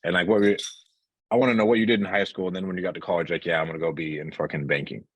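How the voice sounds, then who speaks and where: A man's voice: low voice, steady tone, rapid-fire, no room sound. A man hosting a casual podcast interview, talking in slangy, bro-heavy language with some swearing. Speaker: podcast host interviewing entrepreneurs.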